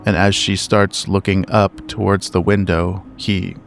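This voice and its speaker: A man's voice: low voice, little pitch movement, unhurried, faint music behind. A man playing a tabletop game, improvising casually.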